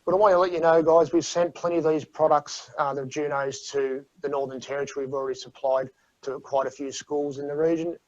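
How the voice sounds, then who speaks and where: A man's voice: on the high side, steady tone, brisk, no room sound. A man presenting a webinar to teachers in a casual, chatty way over a video call. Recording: dull dry video call audio.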